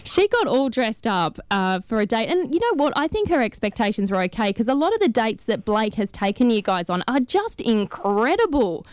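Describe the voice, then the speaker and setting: A woman hosting a breakfast radio show, quick and teasing in a morning chat. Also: fairly high pitch, sing-song, no room sound.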